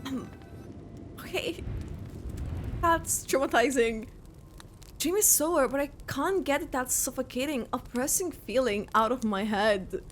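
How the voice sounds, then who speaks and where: A woman game streamer giving casual reaction commentary, halting as she thinks aloud. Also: high voice. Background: game music.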